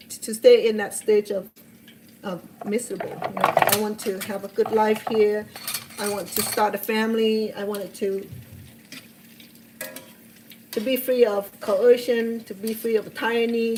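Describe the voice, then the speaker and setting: A woman's voice: mid-range voice, darkish tone, slight background noise, low-quality recording. Earnest Cambodian-accented woman in her sixties. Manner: earnest, heartfelt, with many restarts. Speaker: cooking show host. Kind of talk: personal storytelling in a cooking video.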